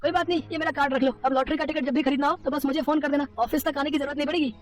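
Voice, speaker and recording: An actor playing an eager, chatty, good-hearted young male customer in a cartoon moral story, with an excitable manner. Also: sits high, rapid-fire, faint music behind, close to an everyday voice. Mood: friendly. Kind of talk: animated Hindi moral story dialogue.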